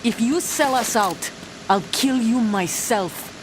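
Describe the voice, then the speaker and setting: A bitter, defiant young mercenary. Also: female voice, a little high, slow, noisy background. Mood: bitter, threatening. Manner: curt, accusing, threatening. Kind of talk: video game cutscene acting.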